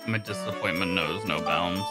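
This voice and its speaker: A man's voice: sits low, steady tone, moderate pace. A man making short, casual replies as a guest co-player on a game stream. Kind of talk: casual chat, brief replies. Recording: music playing behind the voice.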